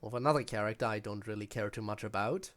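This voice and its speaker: A man's voice: fairly low pitch, fast talker. A man doing casual gameplay commentary as a streamer, blunt, grumbling and digressive. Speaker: game streamer.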